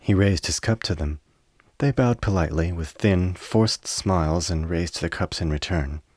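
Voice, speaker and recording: A man's voice: sits low, normal speed, a little mellow, no room sound, clean studio sound. A man reading scripted narration for a radio play.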